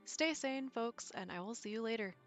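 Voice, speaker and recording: A woman's voice: a little high, animated, moderate pace. A woman hosting a video, reading scripted lore commentary about a video game. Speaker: video host. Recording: music behind the voice. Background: music.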